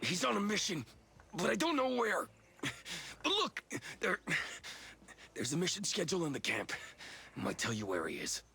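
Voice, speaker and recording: An actor playing a frightened, pleading henchman in a video game, with a strained raspy voice. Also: sits high, sing-song, moderate pace, long pauses, sharp tone, full character voice. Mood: panicked, pleading. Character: frightened male enemy henchman under interrogation, pleading. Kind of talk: video game cutscene acting.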